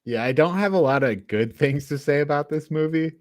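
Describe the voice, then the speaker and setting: A man's voice: fairly high pitch, a little fast, no echo, studio quality. A man co-hosting a casual film review, talking in a mocking, exasperated way. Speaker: podcast co-host reviewing a film. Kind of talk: casual movie review chat.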